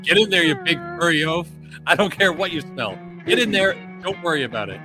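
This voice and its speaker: A man's voice: a little high, lively intonation, fairly quick, music in the background, natural voice. A man playing a brash, sardonic smuggler hero in a comedic table read of a space adventure script. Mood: exasperated. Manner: sardonic, blustery.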